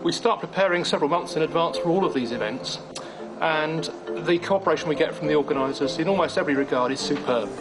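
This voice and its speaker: Quick-talking man with a higher-pitched voice. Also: over music, rough recording. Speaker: air traffic control manager. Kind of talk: interview explanation.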